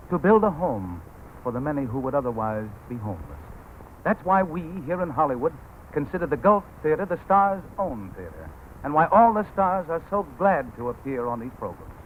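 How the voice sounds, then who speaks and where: A man hosting a 1940s radio drama, giving a formal, smooth scripted introduction. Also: mid-range voice. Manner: smooth formal announcer delivery. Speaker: radio host. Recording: tinny old 1940s radio broadcast, faint hiss.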